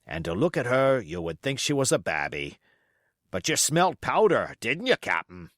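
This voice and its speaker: A man's voice: medium pitch, huge pitch swings, normal speed, a bit bright, no room sound, studio quality. A man voicing a wily, folksy old ship's cook with drawling, rustic sailor talk in an audiobook. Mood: fond boasting. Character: old sea cook, folksy, wily, fond of his parrot. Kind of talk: audiobook character voice.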